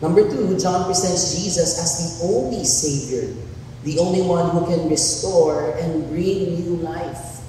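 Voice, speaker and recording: A husky, hoarse male pastor preaches slowly in a mellow, low-key tone, setting out his points one by one. He is heard in an echoey church hall, from a distance, with long reverb and faint noise. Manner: deliberate, lays out numbered points, occasional restarts. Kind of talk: sermon.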